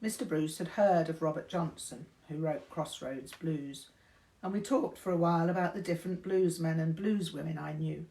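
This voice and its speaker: An older woman reading a memoir aloud in a measured, deliberate storytelling manner, indoors. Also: sits low, mellow, poor audio. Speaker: reader of a memoir. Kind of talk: audiobook-style narration of a memoir. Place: indoors, small room.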